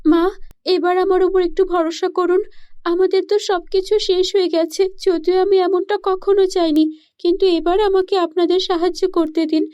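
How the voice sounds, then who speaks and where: A woman acts out a young daughter-in-law from a cartoon story. Her voice is very high and raised in energy. She pleads earnestly, full of hope and eagerness. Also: flat delivery, normal speed, warm tone, highs cut off. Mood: earnest, determined. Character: eager, hopeful young daughter-in-law who designs bags.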